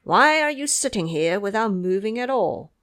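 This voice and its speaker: A woman's voice: medium pitch, lively intonation, normal speed, a bit bright, natural voice. Woman acting a haughty, hot-tempered young prince. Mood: imperious anger. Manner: imperious, formal, demanding.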